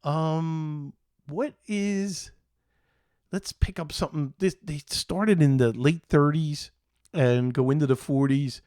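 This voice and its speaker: A middle-aged man from Philadelphia with an American accent, hosting a casual podcast in a halting, meandering, enthusiastic way. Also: on the high side, a little mellow, no room sound, studio quality. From Philadelphia, United States. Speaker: show host.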